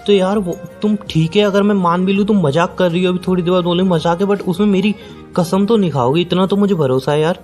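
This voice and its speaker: A man's voice: high-pitched, brisk, darkish tone, faint music behind, lightly acted. A young man playing a hurt, incredulous boyfriend in an audio call drama, pressing and pleading in an exasperated way. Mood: confused, pleading. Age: young adult. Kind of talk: acted phone-call drama in conversational Hindi with some English.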